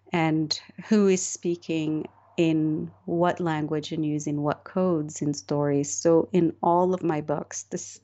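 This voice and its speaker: A woman's voice: deep voice, a little mellow, lo-fi sound. A middle-aged woman from Morocco, a novelist being interviewed, speaking thoughtfully with many fillers and restarts. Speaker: novelist guest. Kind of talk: interview conversation.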